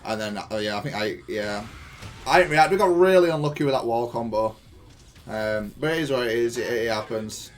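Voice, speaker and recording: A man with a mid-pitched voice talks through his own fighting-game match. His delivery is informal and matter-of-fact, like a player reviewing a replay for viewers. He is openly self-critical as he analyses the play. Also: brisk. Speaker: gaming content creator reviewing own match. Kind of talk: casual gameplay analysis commentary.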